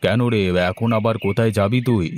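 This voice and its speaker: A man's voice: lowish voice, fairly even intonation, fairly quick, darkish tone, natural voice. A man voicing a scheming, pushy village seed dealer in a cartoon, with a coaxing, condescending manner. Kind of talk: cartoon dialogue acting.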